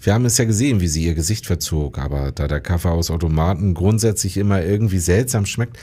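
Man as a low, mellow police detective. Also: fast. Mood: matter-of-fact. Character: matter-of-fact, obliging male police detective. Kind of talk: radio crime drama acting.

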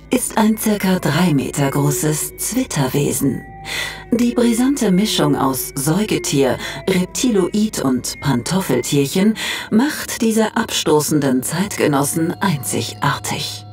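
A solemn woman narrates a cosmic encyclopedia in an audio drama. Her delivery is deadpan and very unhurried, in a slightly low voice over soft music.

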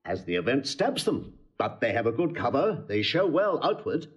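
Male actor as eager older brother, confiding, animated pitch, classical diction, muffled recording. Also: normal speed, lightly acted. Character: older gentleman, eager gossiping brother and uncle. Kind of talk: classical Shakespearean audio drama acting.

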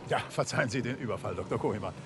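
A man's voice: medium pitch, rapid-fire, noisy background, lightly acted. An actor playing a composed, courteous male detective in a radio crime drama. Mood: brisk, decisive.

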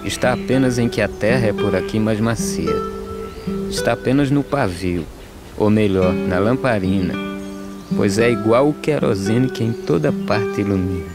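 An actor playing a weary, resigned young migrant in an animated film, reciting verse solemnly. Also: male voice, fairly low pitch, close to an everyday voice. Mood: weary resignation. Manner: solemn, measured verse delivery. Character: weary young male drought migrant, prematurely aged, resigned. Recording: plucked guitar music behind the voice.

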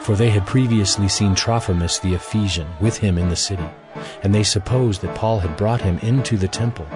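A man narrating a dramatized audio Bible as measured, scripted storytelling. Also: on the low side, over music.

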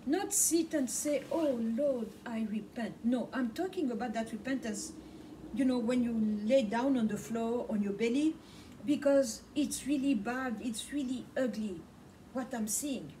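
A woman's voice: fairly high pitch, a little slow, mellow. A middle-aged woman whose first language is Swahili, preaching to camera in a small home study. She repeats words for emphasis. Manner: impassioned, repeats words for emphasis, gestures a lot. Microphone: camera mic from across the room. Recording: distant mic with faint room noise.

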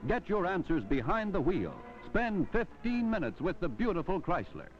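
An American man reading a 1950s car advertisement as a polished sales pitch. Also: big pitch swings, normal speed. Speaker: commercial announcer. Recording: dull vintage film soundtrack, music behind.

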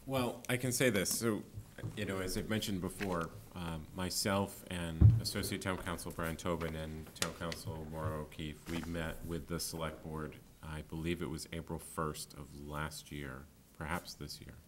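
A man in his forties, a town planning official, answering a board's questions at a public meeting, often pausing with ums and restarting sentences. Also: very deep voice, steady tone, a little hiss or hum. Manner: hesitant, many ums and restarts. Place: town hall meeting room. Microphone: desk microphone.

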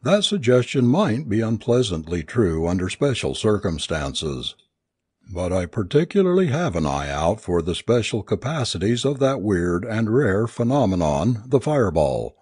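A learned male doctor read by a narrator expounds formally in an audiobook story. The voice is animated and rhetorical, with a slightly husky tone and a dry sound. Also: fairly low pitch, normal speed, no echo. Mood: musing.

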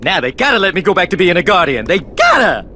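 An actor playing a tiny cocky guardian dragon in a cartoonish video game, boastful, wheedling and excitable. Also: male voice, high voice, quick pace, a little hiss or hum, exaggerated voice. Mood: flustered, evasive.

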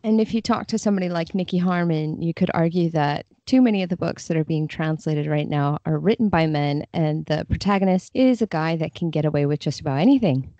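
A woman's voice: sits low, a little fast, few pauses, dark tone, no room sound. A woman chatting casually as a guest in an interview, on slightly dull audio. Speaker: podcast guest, freelance translator.

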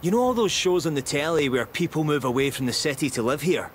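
Guy acting as chill british tour guide in a game, wry and chatty, highish voice, lots of ambient car noise behind. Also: lively intonation, quick pace, close to an everyday voice. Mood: relaxed, wry. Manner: conversational, wry. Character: friendly, laid-back male outdoorsy local guide. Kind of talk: scripted casual narration.

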